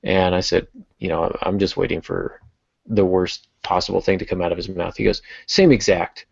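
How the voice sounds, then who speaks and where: A man's voice: sits low, little pitch movement, normal speed, vocal fry, husky, no echo. A middle-aged man co-hosting a podcast, chatting about books in a reflective, wryly self-deprecating way. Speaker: podcast co-host and writer. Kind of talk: casual literary discussion. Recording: muffled lo-fi podcast audio.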